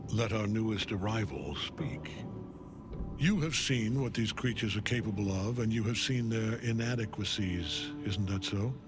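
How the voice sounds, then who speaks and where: An actor playing a cold, commanding, weary older general in a fantasy game, measured and disdainful. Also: male voice, lowish voice, over music, close to an everyday voice. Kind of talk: dramatic fantasy game acting.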